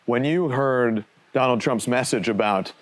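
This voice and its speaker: A man's voice: mid-range voice, a little slow, a little hiss or hum. Fields: Sex: man. Role: TV news reporter.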